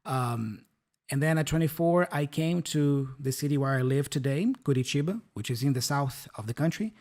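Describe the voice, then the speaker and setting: A man's voice: fairly high pitch, moderate pace, a little mellow. A man in his thirties from Brazil with a Brazilian Portuguese accent, speaking English as a second language as a podcast co-host. He explains words in a friendly way and keeps checking in with his listener. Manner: friendly, often checks in with "right?" and "yeah?". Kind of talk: casual teaching chat.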